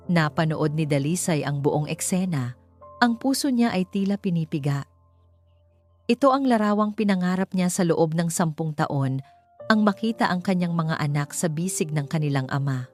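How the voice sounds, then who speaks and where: A woman's voice: fairly low pitch, darkish tone, dry recording. A woman giving a dramatic, scripted narration of a romance story.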